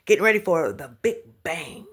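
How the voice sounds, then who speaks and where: A woman's voice: lowish voice, animated, normal speed, breathy edge. A woman giving a casual spiritual talk to her viewers, laughing often, humming and scatting between thoughts, and using pet names for her audience. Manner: repeats and restarts words, pet names for the audience. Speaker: spiritual teacher.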